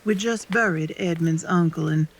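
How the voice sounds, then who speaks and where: Low-voiced Southern wife telling her story played by a woman. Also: normal speed, close to an everyday voice, low-quality recording. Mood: wistful, confiding. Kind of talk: theatrical monologue to an audience.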